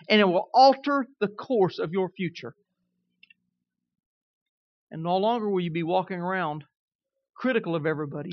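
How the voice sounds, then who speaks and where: Preacher, man, 50s, Southern twang, high voice, chatty delivery; dry, muffled recording. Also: dry recording. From Kansas. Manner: conversational preaching, often checks in with listeners. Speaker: pastor. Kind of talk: sermon.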